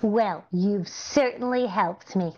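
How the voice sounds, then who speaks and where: A woman's voice: medium pitch, slow, darkish tone. A middle-aged woman playing a cheerful, naively confident scatterbrained wife in a 1940s-style radio comedy. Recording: slightly dull online-call audio.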